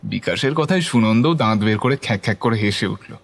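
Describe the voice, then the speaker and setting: A man's voice: fairly low pitch, lively intonation, a little fast, gravelly, slightly rough. A man giving scripted, dramatic narration of a horror story.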